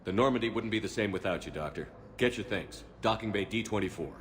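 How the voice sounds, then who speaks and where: An actor playing a decisive male military commander in a video game cutscene, speaking in brisk, direct orders. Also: lowish voice, long reverb tail, a little hiss or hum, natural voice. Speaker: ship's commander.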